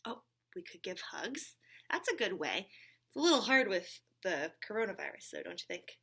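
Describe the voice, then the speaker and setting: A woman's voice: mid-range voice, big pitch swings, moderate pace, bright, forceful tone, breathy edge. A woman hosting a church story time for children, speaking encouragingly and simply, with frequent check-in questions, as if chatting with a puppet friend. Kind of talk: talking to a puppet companion for young children.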